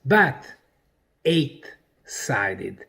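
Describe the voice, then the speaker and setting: Slow, animated male lecturer from Bosnia. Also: medium pitch, creaky. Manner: deliberate, emphatic, speaking in short broken phrases. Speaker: presenter and researcher. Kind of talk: explanatory lecture presentation.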